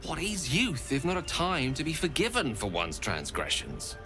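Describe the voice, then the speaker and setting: A man's voice: a little high, wildly swooping pitch, moderate pace, music in the background. An actor playing a wry, eloquent man in a video game, articulate and theatrical. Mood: wry, reflective.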